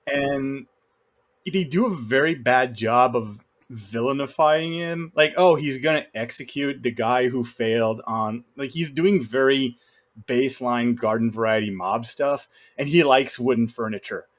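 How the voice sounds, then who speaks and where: A man's voice: medium pitch, measured pace. A man co-hosting a film podcast, chatting casually in an opinionated, wry way.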